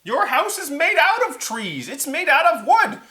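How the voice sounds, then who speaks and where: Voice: high voice, sing-song, unhurried, bright, forceful tone, voice pushed up, heavily acted. A storyteller voicing an earnest, kindly young hero of a children's tale, exclaiming and reasoning with others. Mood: incredulous scolding. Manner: exclamatory, scolding yet forgiving. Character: Liam, earnest kindly young hero who helps forest animals.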